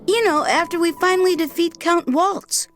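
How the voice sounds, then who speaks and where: A woman voicing a gentle, worried young girl in a video game, with a hesitant, earnest manner. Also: extremely high pitch, normal speed, faint music behind. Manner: hesitant, soft-hearted, earnest. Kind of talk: animated video game voice acting.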